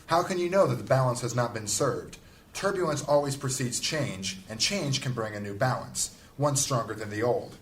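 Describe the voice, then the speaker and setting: An actor voices an arrogant male mage of a secret council. His audio-drama delivery is theatrical and cutting, swinging widely in pitch over faint ambient noise. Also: expressive, normal speed, close to an everyday voice. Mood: argumentative. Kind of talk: fantasy audio drama acting.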